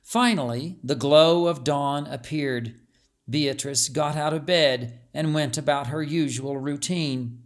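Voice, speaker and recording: A man's voice: on the high side, slow pace. A man reading a story aloud as a scripted audiobook narration.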